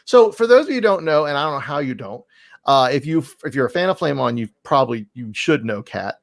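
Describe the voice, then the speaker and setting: A man's voice: medium pitch, animated, quick pace, gravelly, dry recording, studio quality. A man hosting a casual podcast interview, talking in an effusive, rambling, self-deprecating way. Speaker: podcast host.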